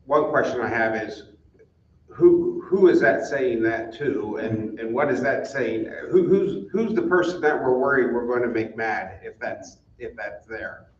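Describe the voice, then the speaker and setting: A man speaking in a halting, reflective and questioning way as he comments at a community meeting. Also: medium pitch. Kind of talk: informal spoken comment. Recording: muffled, distant, echoey room with noise.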